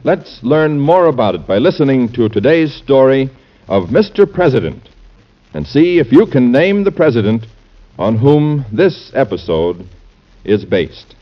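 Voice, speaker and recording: A man's voice: mid-range voice, big pitch swings, a little slow, slight background noise. An American man hosting a vintage radio programme, delivering scripted narration as its announcer. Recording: muffled vintage radio recording.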